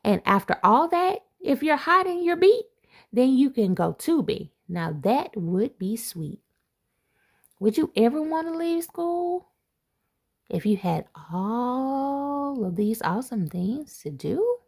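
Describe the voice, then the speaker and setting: A woman, an assistant principal, reading a rhyming picture book aloud to children, with playful asides and expressive delivery. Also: high voice, takes it slowly.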